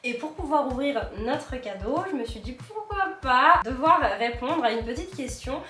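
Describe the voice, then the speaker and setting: Casting: chatty female guest vlogger, fairly high pitch, roomy off-mic sound. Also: expressive, moderate pace, fairly bright tone. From Mons, Belgium. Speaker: guest YouTuber. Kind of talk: casual chat. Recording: echoey room, distant mic, music underneath. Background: background music.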